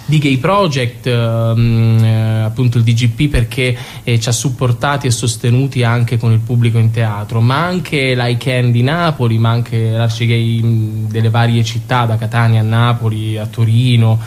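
Halting male interview guest, mid-pitched. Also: steady tone, darkish tone, a little hiss or hum. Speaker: theatre company member, interview guest. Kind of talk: radio interview, explanatory conversation.

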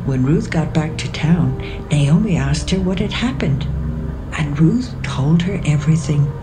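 A woman narrating scripture from a script in a measured storytelling manner. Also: low voice, animated, rough recording. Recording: music behind the voice.

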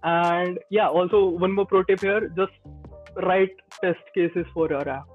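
High-voiced young Indian man, tinny call. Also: steady tone, measured pace. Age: 20s. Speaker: podcast guest, Android developer. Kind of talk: casual advice in a video-call interview. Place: small indoor room. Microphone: earbuds on a video call. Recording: tinny phone-like call audio, faint music.

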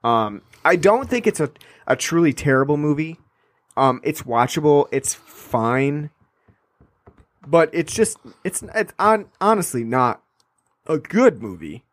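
A man's voice: a little high, very animated, dry recording. A man co-hosting a podcast, chatting casually and sarcastically about a film, rambling with frequent fillers. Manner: rambling, sarcastic, quick mock voices. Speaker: podcast co-host. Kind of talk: casual film review chat.

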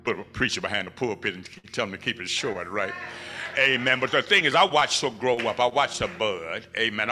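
Deep-voiced man introducing a preacher. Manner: warm preacher cadence with frequent amens. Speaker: introducer of the speaker, her older brother. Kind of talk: church introduction speech. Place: large church sanctuary. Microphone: handheld mic at pulpit, far camera.

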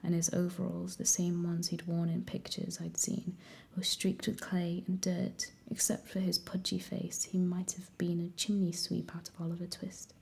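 A woman reading a story aloud in a soft, steady whisper. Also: on the low side, normal speed, vocal fry, husky. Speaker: audiobook reader.

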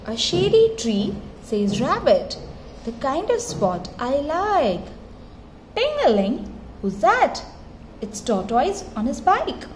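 A woman reading a picture book aloud to a small child in an expressive, singsong, encouraging way, pausing to give simple prompts. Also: high-pitched. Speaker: mother reading to her toddler. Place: tiled floor in a room at home. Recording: clearly noisy home phone recording.

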